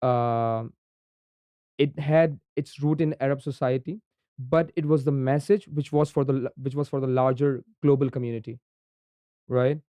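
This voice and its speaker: Young pakistani man, urdu native, mellow voice, smooth tone, dry close mic, podcast guest, lots of ums and "right?". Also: medium pitch, steady tone, normal speed, no echo. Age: young adult. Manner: frequent ums and repeated "right?" tags. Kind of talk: conversational explanation.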